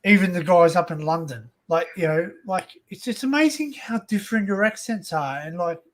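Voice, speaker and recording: An Australian man hosting a casual livestream chat, rambling and joking in an excitable way. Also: high-pitched, moderate pace. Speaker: livestream host.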